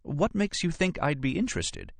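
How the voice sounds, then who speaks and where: An audiobook character done by a male narrator: an irritated, no-nonsense woman scientist. The voice is raised well in pitch, protesting and emphatic, recorded dry. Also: wildly swooping pitch, normal speed, gravelly, no echo, heavily acted. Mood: irritated, resistant. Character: indignant, no-nonsense woman archaeologist, easily irritated.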